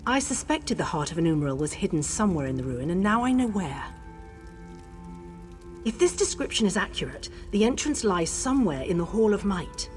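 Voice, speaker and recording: A woman voicing an earnest, composed scholar in a video game, with a measured, formal way of explaining things. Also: lowish voice, sing-song, soft background music. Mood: intent, explanatory. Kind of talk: video game character acting.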